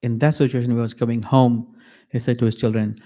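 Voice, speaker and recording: A man giving a mourning sermon at an Islamic center, slow and emphatic, repeating key phrases with pauses. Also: mid-range voice, flat delivery, warm tone.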